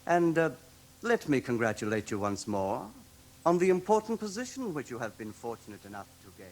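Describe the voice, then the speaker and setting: Pompous, lively British official. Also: male voice, mid-range voice, a little fast. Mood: officious. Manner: pompous, clipped, declamatory. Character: brisk, officious, pompous red-haired male league official. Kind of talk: British radio drama acting.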